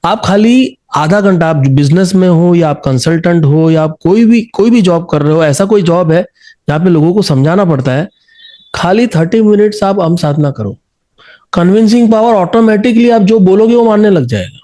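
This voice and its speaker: Mellow, higher-pitched Indian male coach. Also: normal speed. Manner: encouraging, mixes Hindi with English phrases. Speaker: singing coach and host. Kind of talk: conversational coaching interview.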